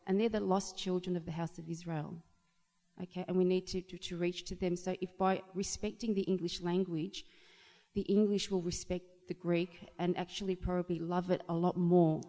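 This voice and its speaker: A woman's voice: fairly low pitch, warm tone. A woman speaking from the audience in a question-and-answer discussion, hesitant and heartfelt, with many fillers. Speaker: audience member. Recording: long reverb of a hall.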